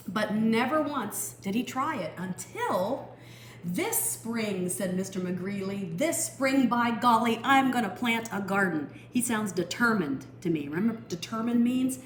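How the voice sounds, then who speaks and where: A woman reading a picture book aloud for children, expressive and explanatory, with teacherly asides. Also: mid-range voice. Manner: explanatory, expressive, pausing to define words. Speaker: storytime reader. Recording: echoey room with faint noise.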